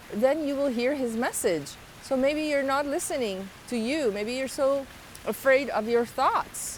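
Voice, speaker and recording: A woman's voice: fairly high pitch, slight background noise. A woman with a slight foreign accent, talking to her viewers in a casual, earnest opinion vlog with many ums and rising, emphatic questions. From abroad, moved to the United States at twelve. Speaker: vlogger.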